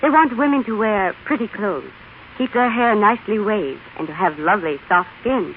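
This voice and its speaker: An actress playing a charming, confident young fashion editor, polished and persuasive, in an old radio advertisement. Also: female voice, medium pitch, lively intonation, normal speed, natural voice. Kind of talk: scripted 1930s radio advertisement. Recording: tinny, hissy old radio recording.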